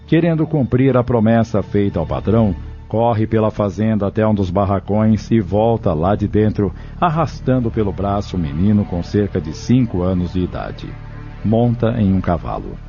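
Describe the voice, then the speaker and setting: A man's voice: lowish voice, animated, moderate pace, warm tone. A man giving scripted, dramatic narration for an audio novel. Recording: dull, lo-fi recording with music.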